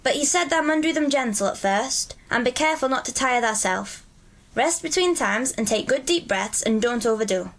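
A woman's voice: fairly high pitch, expressive, normal speed, fairly bright tone, voice pushed up. A woman voicing a cheerful, friendly Yorkshire country boy who speaks in broad rustic dialect. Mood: caring.

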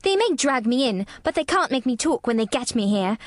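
Woman voicing a stubborn, sour little girl, high-pitched. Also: sing-song, moderate pace, no room sound, clean studio sound. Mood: defiant, sour. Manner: sharp, contrary, retorting.

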